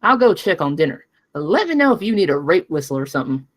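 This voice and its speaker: A woman's voice: low-pitched, big pitch swings, fast. A woman playing a brash, foul-mouthed young mechanic in a screenplay table read, casual, sarcastic and blunt. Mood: teasing, blunt.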